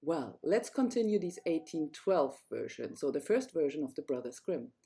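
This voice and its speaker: A woman's voice: low-pitched, big pitch swings, normal speed, warm tone. A woman storyteller narrating a fairy tale, reading it aloud.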